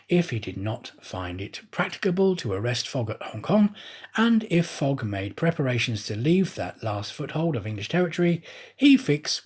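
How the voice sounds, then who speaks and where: A man reading a classic novel aloud as a trained actor, in expressive storybook narration. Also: medium pitch, slightly rough. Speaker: audiobook reader, professional actor.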